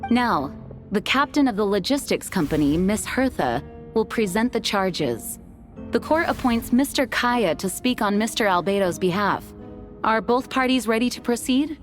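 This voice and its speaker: Actress doing a calm dutiful lady knight boss in a game, formal n authoritative, medium pitch, music behind. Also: normal speed, close to an everyday voice. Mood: composed, formal. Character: composed, dutiful young woman knight commander presiding at trial. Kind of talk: scripted game cutscene acting. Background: soft background music.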